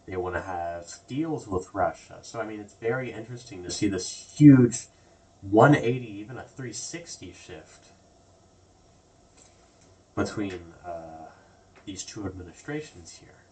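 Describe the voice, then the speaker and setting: A man's voice: deep voice, a little mellow, far from the mic, slight background noise, low-quality recording. A man hosting a casual political commentary vlog, rambling with lots of restarts and "like" and "basically". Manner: rambling, repeats words and restarts often; loose everyday phrasing with many "like" and "basically". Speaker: video host.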